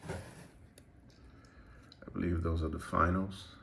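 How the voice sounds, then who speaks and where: A man giving a casual, unscripted show-and-tell as a hobbyist, hesitant and halting as he thinks aloud. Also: deep voice, flat delivery, creaky, a bit bright, a little hiss or hum. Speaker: radio hobbyist presenting a project.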